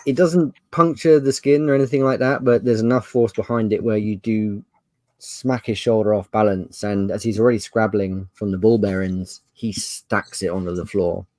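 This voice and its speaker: A man's voice: lowish voice, steady tone, normal speed, darkish tone, dry recording. A man narrating an improvised tabletop game as game master, conversational and descriptive.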